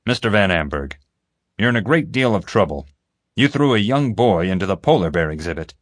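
Audiobook lawman, male narrator in role: authoritative, accusing, measured, low voice, dry sound. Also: sing-song, no room sound. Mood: stern. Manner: measured, firm questioning. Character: Hoenikker, stern male investigator, controlled, accusing, authoritative.

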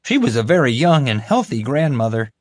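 A man voicing a bumbling, proud security guard in an audiobook, boastful, oblivious and excitable. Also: a little high, normal speed, no echo, pitched above the performer's own voice. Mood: defensive. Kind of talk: audiobook character voice.

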